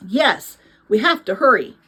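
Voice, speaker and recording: An earnest young boy from a storybook, eager and thoughtful as read by a woman. The voice is high and moves freely up and down. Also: big pitch swings, measured pace, fairly bright tone, husky, full character voice. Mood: excited.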